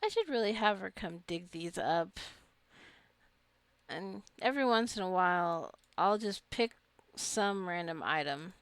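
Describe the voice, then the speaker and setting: Creaky-voiced woman chatting over a game. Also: lowish voice, lively intonation, a little slow, dry recording. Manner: rambling, thinking aloud. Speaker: gamer narrating her own gameplay. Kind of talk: casual gameplay commentary.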